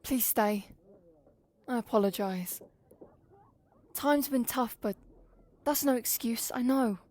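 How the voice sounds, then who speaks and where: A woman voicing a young-looking high elf innkeeper in a fantasy audio drama, with a weary, formal, curt manner. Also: takes it slowly, a little mellow. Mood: apologetic.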